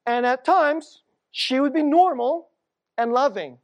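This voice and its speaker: A middle-aged Korean American woman telling stories in a sermon, casual and conversational, dropping to a hushed low voice at tense moments. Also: lively intonation, unhurried, highs cut off. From United States.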